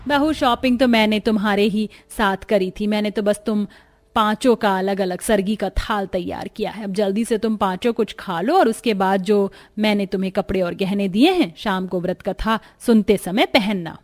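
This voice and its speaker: A woman's voice: mid-range voice, moderate pace, lightly acted. A woman voicing a strict but caring traditional mother-in-law who gives firm instructions in a cartoon story. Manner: instructing, firm, addresses her daughters-in-law.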